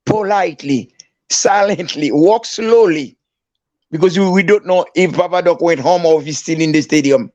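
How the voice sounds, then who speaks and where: An older man from Haiti tells his story slowly, pausing as he goes. His voice sits high for a man and sounds close and dry, with no room around it. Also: a little mellow, no room sound. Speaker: guest. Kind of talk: storytelling in conversation.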